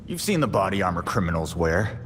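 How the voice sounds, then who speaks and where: A man playing a brooding, sardonic young vigilante in a video game, gruff and terse with a dry, casual delivery. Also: sits low, sing-song, fairly quick. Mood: dry, stubborn. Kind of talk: naturalistic video game acting. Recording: reverberant room with faint noise.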